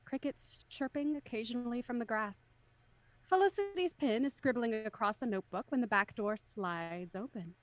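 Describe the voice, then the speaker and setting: A woman with a fairly high voice reads audio drama narration in a soft, measured way. The sound is dry and close, with no room around it. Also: animated, no echo, low-quality recording.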